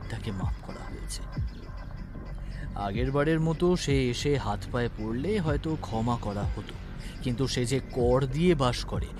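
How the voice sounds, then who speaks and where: Slow-paced man narrating a story. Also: mid-range voice. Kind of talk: dramatic scripted story narration.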